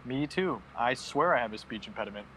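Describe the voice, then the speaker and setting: A man's voice: mid-range voice, expressive, fairly quick, bright, forceful tone, a little hiss or hum, low-quality recording. A man in a casual podcast chat, hesitant and poking fun at himself. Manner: self-deprecating, hesitant.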